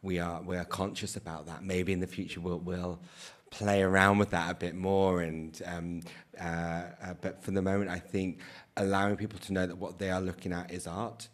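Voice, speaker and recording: A man speaking on a live panel discussion on stage, measured and considered, into a stand microphone. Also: sits low, flat delivery. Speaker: festival director, panelist. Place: stage in a theatre.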